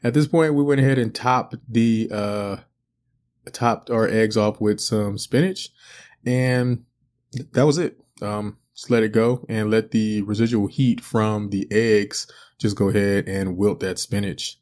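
A man in his thirties with a lowish voice talks through his breakfast recipe in a loose, unhurried way. He pauses often with "uh" and "um" as he explains each step. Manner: relaxed, rambling with many fillers. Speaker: cooking channel host. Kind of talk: casual cooking voice-over. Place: home kitchen.

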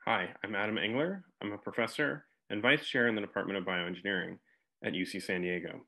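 Low-voiced professor introducing himself. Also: male voice, a little fast, vocal fry, pushed and bright, rough recording. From Alabama, USA. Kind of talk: scripted self-introduction.

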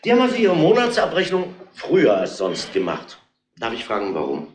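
Probing police inspector, swooping intonation. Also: male voice, medium pitch, huge pitch swings, brisk, a crowd in the background. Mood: polite, insistent. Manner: probing, hesitant filler-laden questioning, feigned casualness. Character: grumbling, probing male police inspector, middle-aged or older. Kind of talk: German radio crime drama acting. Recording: roomy, noisy old radio play recording.

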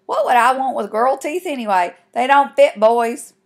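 A woman's voice: high-pitched, animated, normal speed, gravelly. A woman voicing a cocky, matter-of-fact country boy in a children's storybook, with an assertive, folksy delivery. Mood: calm denial.

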